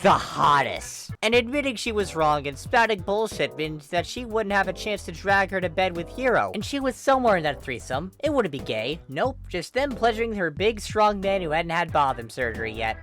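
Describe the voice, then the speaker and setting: Fan fiction narrator, mid-pitched, informal and wry with dramatic comic delivery, over prominent game music. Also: big pitch swings, normal speed, hardly any pauses, a bit bright. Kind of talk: expressive comedic story narration. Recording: background music under the voice. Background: video game style music.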